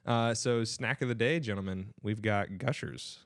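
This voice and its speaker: A man's voice: fairly low pitch, moderate pace, heavy background noise. A young man hosting a podcast in a casual, chatty style. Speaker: podcast host.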